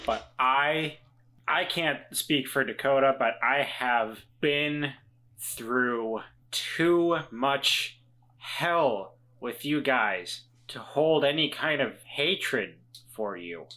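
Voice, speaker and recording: A man's voice: medium pitch, very slow, bright, forceful tone, poor audio. A man acting in an improvised tabletop game with emphatic, earnest delivery and folksy phrasing. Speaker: player in tabletop role-playing podcast. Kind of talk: dramatic in-character improvised role-play.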